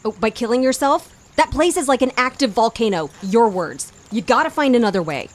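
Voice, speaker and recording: A woman's voice: a little high, expressive, fairly quick, close to an everyday voice. A woman acting a blunt, sardonic, exasperated policewoman in a naturalistic audio drama. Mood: exasperated, angry. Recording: faint outdoor noise with insects.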